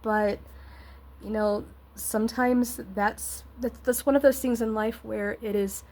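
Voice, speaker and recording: A woman with a fairly high voice talks through a tarot reading in a casual, supportive way. She sounds a bit scratchy and nasally congested, as if getting over an illness. Her delivery wanders as she hunts for the right words. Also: fairly even intonation, unhurried, darkish tone. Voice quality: slightly scratchy. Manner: rambling, searching for words, encouraging. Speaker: tarot reader and host.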